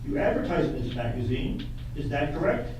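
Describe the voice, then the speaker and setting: A man's voice: mid-range voice, animated, fairly quick, a little mellow, natural voice. A man playing an insistent, pedantic attorney who repeats questions in a puppet courtroom cross-examination. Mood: pressing, insistent. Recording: echoey room, distant mic, faint noise.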